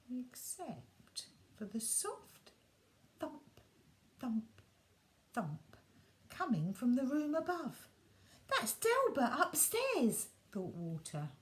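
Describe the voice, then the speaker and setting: A woman reading a children's bedtime story aloud, gentle and expressive, sometimes dropping her voice soft. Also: mid-range voice, slight background noise.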